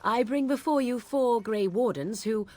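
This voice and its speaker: A woman's voice: on the high side, measured pace, a little mellow, close to an everyday voice. An actress voicing a haughty young witch from the wilds, who speaks in a mocking, formal, old-fashioned way. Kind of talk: game voice acting.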